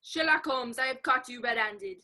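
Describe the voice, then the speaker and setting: A woman's voice: high voice, moderate pace, bright, forceful tone. A woman playing a haughty, stern French police inspector in a radio play, formal and indignant. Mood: accusing.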